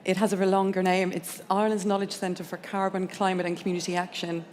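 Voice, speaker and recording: A woman introducing herself as an educational designer on a conference panel, steady and informative. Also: medium pitch, fairly quick. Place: conference hall. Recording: long hall reverb.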